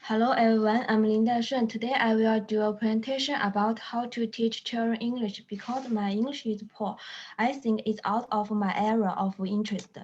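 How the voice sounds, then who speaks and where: A woman's voice: a little high, fairly even intonation, moderate pace, a little mellow, breathy edge, lo-fi sound. A woman student earnestly reading a short class presentation, with small slips.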